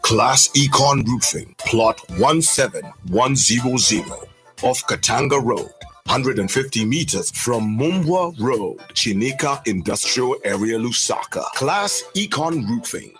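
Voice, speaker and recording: A man reading a radio advert voice-over in a clear, promotional delivery. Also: medium pitch, animated, measured pace. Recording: voice over loud music bed. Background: music.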